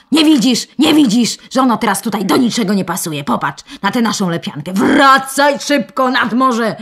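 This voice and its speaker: Old peasant wife, scolding and loud, gravelly husky voice. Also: female voice, on the high side, exaggerated voice. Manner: nagging, shouting.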